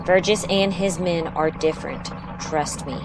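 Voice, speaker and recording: A woman playing a self-assured rebel fighter and go-between in an audio drama, brisk and assured. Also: on the low side. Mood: insistent, reassuring. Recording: clear hum and vehicle-like noise.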